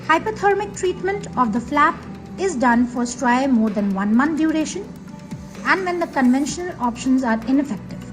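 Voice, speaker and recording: A woman with an Indian English accent reading a scripted medical video narration slowly and evenly. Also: high-pitched. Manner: slow, even reading of a prepared script. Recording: voice-over with background music.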